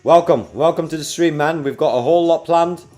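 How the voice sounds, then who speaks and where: A man from Belgium with a mixed UK, New Zealand and American accent talks to his livestream chat from his home desk. His voice sits a bit high, and he stays chatty and upbeat while sounding tired. Faint music plays behind him. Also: brisk, mellow. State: tired after a night awake. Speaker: livestream host. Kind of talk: casual livestream chat to viewers.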